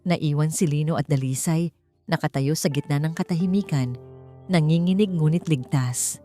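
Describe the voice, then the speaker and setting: Female Filipino audiobook narrator, lower register, measured and suspenseful delivery, soft music underneath. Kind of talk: dramatic scripted story narration.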